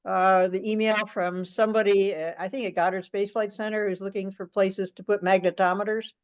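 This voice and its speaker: A woman with a medium-pitched voice talks in a plain-spoken, informal way. She is on a conference call with dull, lo-fi sound. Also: steady tone, fairly quick, clear, resonant tone. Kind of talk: casual meeting chat. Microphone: teleconference call.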